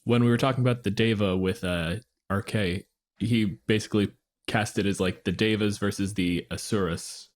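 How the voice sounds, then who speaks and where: A man's voice: on the low side, fairly even intonation, moderate pace. Inquisitive man co-hosting a podcast. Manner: inquisitive, analytical questions. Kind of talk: casual podcast discussion.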